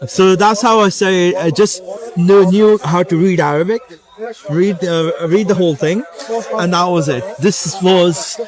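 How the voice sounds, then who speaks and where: A man's voice: high voice, a little slow, crowd noise. A young man, chatting as an interview guest with lots of fillers and self-deprecating asides. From Pakistan. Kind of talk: casual interview.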